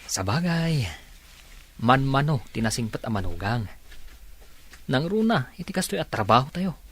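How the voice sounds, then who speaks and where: A man's voice: medium pitch, very animated, moderate pace, a bit bright. A man playing a chummy young co-worker in a radio drama, casual and bantering. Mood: teasing.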